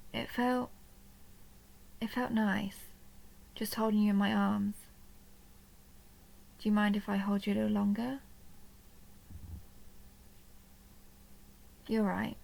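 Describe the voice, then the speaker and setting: A woman playing a tender, possessive, lonely vampire countess in an intimate roleplay audio, speaking softly and breathily, often near a whisper, with a creaky edge. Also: mid-range voice, low-quality recording. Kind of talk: intimate roleplay audio drama, addressed to the listener.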